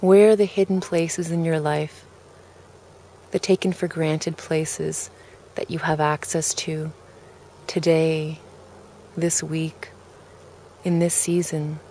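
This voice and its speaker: A woman leading a guided relaxation in a calm, gentle way, with deliberate, pausing phrases. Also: low-pitched, slight background noise. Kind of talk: guided meditation, soft spoken invitation.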